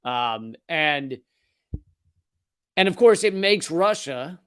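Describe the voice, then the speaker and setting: A man's voice: on the high side, animated, slow pace, a bit bright, clean tone, no room sound, clean studio sound. A man hosting a political comedy show, delivering sardonic, profane commentary with mocking put-on voices.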